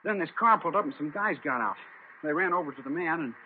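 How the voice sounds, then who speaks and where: An eager, cooperative American witness played by a male actor talks quickly in a medium-pitched voice. It is 1950s radio drama acting. The sound is narrow and muffled with a faint noisy bed. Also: lively intonation, natural voice. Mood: urgent recounting.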